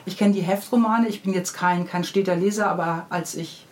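A woman's voice: fairly low pitch, fairly even intonation, brisk. A middle-aged woman, an author being interviewed, explaining her stories in a friendly, chatty and detailed way. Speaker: interviewed children's book author. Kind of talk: casual interview, explaining and storytelling. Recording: clearly audible background noise.

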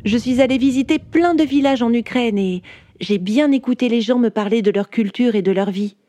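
A woman's voice: a little high, normal speed, dry recording, lightly acted. Woman playing a chatty 19th-century woman writer. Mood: lively. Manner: warm, chatty, speaks directly to the listener. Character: 19th-century woman writer telling her own life story. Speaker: storyteller. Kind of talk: first-person storytelling for children.

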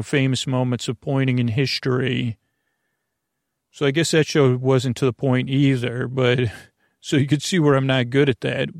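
A man hosting a podcast with a meandering, halting, digressive bedtime monologue. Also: mid-range voice, creaky, no room sound, clean studio sound. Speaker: podcast host.

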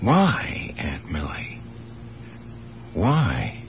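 An actor playing a troubled, conscientious nephew in a 1970s radio drama, speaking earnestly and hesitantly as he gently probes. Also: low-pitched, wildly swooping pitch, extremely slow, exaggerated voice. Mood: gentle confrontation. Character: troubled, conscientious nephew confronting his aunt. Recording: dull, noisy 1970s radio recording with hum.

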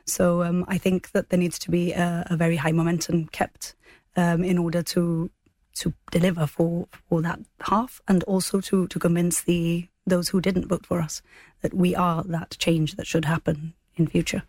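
A woman's voice: lowish voice, little pitch movement, moderate pace, darkish tone, dry recording. A woman speaking as a political guest in a formal interview, earnest and careful with her phrasing.

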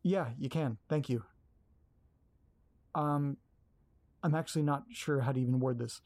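A man voicing a nervous young investigator in a horror audio drama, tripping over his words and apologizing as he goes. His voice is soft and dark in tone, sitting at an ordinary middle pitch. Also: a little slow, close to an everyday voice. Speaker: lead character.

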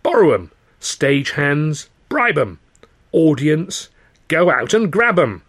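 A man's voice: a little high, very animated, slow, a bit bright, breathy edge, voice pushed up, exaggerated voice. A man voicing a bold, eager male stage trouper in an audiobook, urgent and persuasive. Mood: brisk, persuasive.

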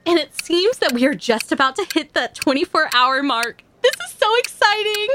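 A woman's voice: high-pitched, lively intonation, moderate pace, a little hiss or hum. A woman playing a sugary telethon cohost. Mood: chirpy. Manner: bright, sugary. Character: chirpy female telethon cohost.

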